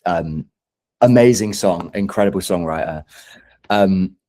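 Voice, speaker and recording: A man's voice: low voice, unhurried, warm tone. A man who is a singer-songwriter guest in a casual interview, speaking in a hesitant, thoughtful, self-deprecating way.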